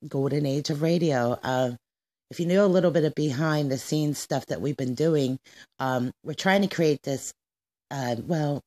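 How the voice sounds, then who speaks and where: A woman's voice: deep voice, normal speed, warm tone. A woman hosting a radio show in an informal, somewhat hesitant style, often searching for words.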